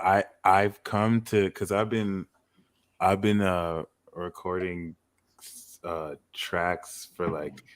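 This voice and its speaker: A man chatting casually as a podcast panelist, halting and self-deprecating. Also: sits low, fairly bright tone. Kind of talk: casual chat.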